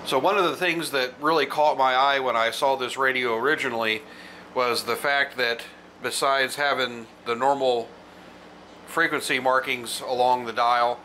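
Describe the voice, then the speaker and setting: Middle-aged man, bright forward voice, mid pitch, casual explanatory narration, faint background noise. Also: fairly even intonation, normal speed, low-quality recording. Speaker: vintage electronics channel host. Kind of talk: informal product show-and-tell narration.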